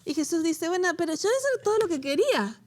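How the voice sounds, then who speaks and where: A woman from Latin America leading a conversational Bible teaching, animated and quick to laugh, turning emotional over a personal story. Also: very high voice. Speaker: co-host of a Bible study show.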